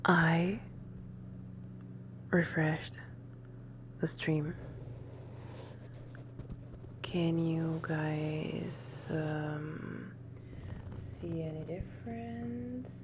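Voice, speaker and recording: Young woman hosting an ASMR stream: soft-spoken and whispery, leisurely pace, heavy noise behind her. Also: sits low, very slow, long pauses. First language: Italian. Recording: loud steady background noise.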